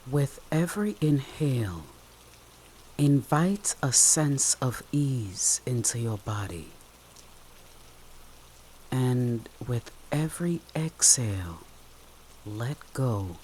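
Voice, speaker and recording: A meditation guide speaking gently and soothingly in short phrases with long pauses, leading a guided relaxation. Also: medium pitch, a little hiss or hum. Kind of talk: guided meditation, soft instructional narration. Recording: steady rain sound behind the voice. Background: rain.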